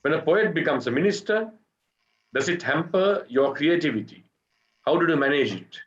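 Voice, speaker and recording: A man's voice: fairly high pitch, expressive, moderate pace, fairly bright tone, highs cut off. A man moderating an online panel, politely reading out questions to the guests. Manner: reads out questions, polite and brief. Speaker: webinar moderator. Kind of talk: moderating a webinar.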